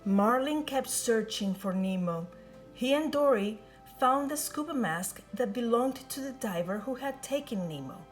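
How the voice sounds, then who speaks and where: A woman reads a children's picture book aloud, her higher voice swooping up and down in a lively sing-song. She takes her time over every line, drawing out the telling. Soft music plays faintly behind her. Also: unhurried. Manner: expressive, sing-song storytelling. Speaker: storybook reader.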